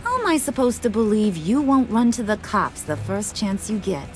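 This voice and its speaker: A woman's voice: mid-range voice, very animated, moderate pace, a little mellow, soft background music, lightly acted. An actress voicing a flirty, menacing, unhinged female villain in a video game, with a teasing, slangy delivery. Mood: threatening. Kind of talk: animated video game acting.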